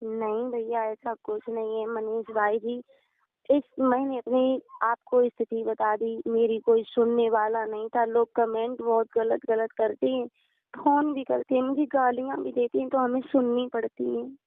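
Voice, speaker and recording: Woman, higher-pitched, aggrieved and deferential, pleading her case in conversation over a narrow phone line. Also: little pitch movement, measured pace, dry recording. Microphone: telephone. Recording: narrow tinny phone-call recording.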